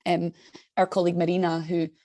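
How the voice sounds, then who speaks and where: A woman's voice: on the low side, level tone, a little fast, mellow, no room sound. A woman speaking on a video call in a chatty, enthusiastic way. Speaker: staff member of an international alliance. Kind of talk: online meeting contribution.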